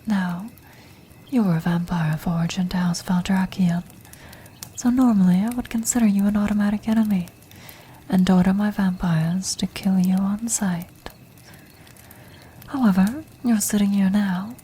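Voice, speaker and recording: A woman voicing an ancient, commanding vampire countess in a soft, breathy, formal whisper for an ASMR fantasy roleplay. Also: mid-range voice, fairly even intonation, slight background noise, lightly acted. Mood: composed, formal. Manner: slow, formal, measured, regal.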